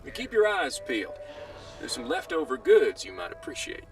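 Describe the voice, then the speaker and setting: A man's voice: high voice, normal speed, creaky, full character voice. A man playing a genial frontier lawman in a western video game, with a folksy, encouraging manner, over music. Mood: businesslike. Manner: animated, encouraging, colloquial. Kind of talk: video game cutscene acting. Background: background music.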